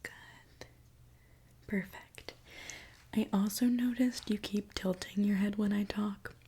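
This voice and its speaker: A woman doing an ASMR roleplay as a gentle mechanic, speaking in a soft, reassuring, attentive way. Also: medium pitch, fairly even intonation, slow, breathy edge. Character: gentle mechanic repairing a robot client. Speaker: ASMR creator.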